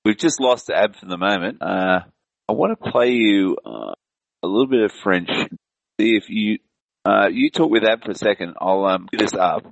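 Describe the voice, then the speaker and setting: A man's voice: fairly low pitch, animated, moderate pace, creaky, no room sound. A man hosting an online call show, talking in a loose, rambling way with many restarts. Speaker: podcast host. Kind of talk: casual online chat. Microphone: video call. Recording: dry lo-fi call audio.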